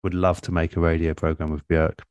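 Deep-voiced man in relaxed conversation. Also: brisk, dry recording, highs cut off. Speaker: radio producer being interviewed. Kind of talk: relaxed conversational interview, reflective advice.

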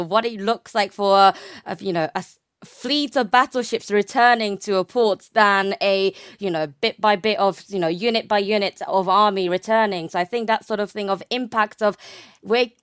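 A woman's voice: medium pitch, smooth, no room sound, clean studio sound. A young woman from Japan talking conversationally as an academic guest, explaining things with hedged enthusiasm. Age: young adult. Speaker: academic guest, naval history researcher.

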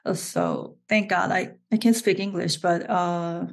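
Slow-speaking Korean-accented woman. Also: fairly low pitch, steady tone, creaky. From South Korea. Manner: halting, with frequent restarts and laughs. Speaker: guest. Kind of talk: casual interview.

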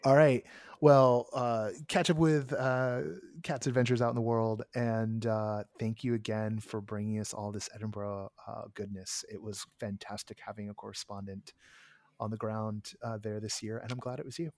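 A man hosting a podcast, hoarse and tired, talking in a rambling way with many restarts and asides. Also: lowish voice, little pitch movement, moderate pace, a little mellow, no room sound. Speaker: podcast host. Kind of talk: casual chat and solo monologue.

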